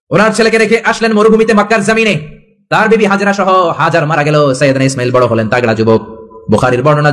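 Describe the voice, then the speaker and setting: A man's voice: high-pitched, a bit bright. A Bangladeshi man preaching an Islamic storytelling sermon, emphatic, repeating phrases and putting questions to his listeners. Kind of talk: animated storytelling sermon to a live gathering.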